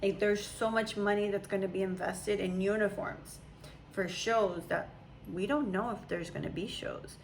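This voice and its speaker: Rambling, laughing young woman in a casual chat with her daughter, talking in a middle-pitched voice. There is a faint hiss of room noise behind her. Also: steady tone, normal speed, creaky, rough recording. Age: young adult. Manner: chatty, rambling, laughs often. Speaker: mother.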